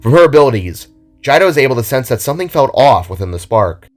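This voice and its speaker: A man's voice: mid-range voice, big pitch swings, fast talker, faint music behind. A man reading scripted, dramatic narration for an audio drama story.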